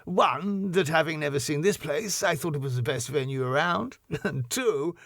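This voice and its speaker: Guy doing a slick older club boss, chuckly, higher voice, dry sound. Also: animated, normal speed, vocal fry, a bit bright, dry recording, full character voice. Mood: smarmy. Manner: oily, laughs at own jokes. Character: smarmy middle-aged male club boss, chuckling.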